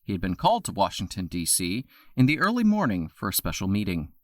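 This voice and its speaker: A man narrating an audio drama in steady, scripted storytelling. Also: on the low side, fast talker, no echo, clean studio sound.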